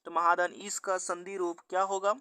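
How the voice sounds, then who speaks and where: A man's voice: high voice, level tone, moderate pace, pushed and bright, clear, resonant tone, no echo. A man working as an exam-prep teacher, reading out quiz questions and answers in a methodical, formulaic instructional way. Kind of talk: instructional mock-test narration.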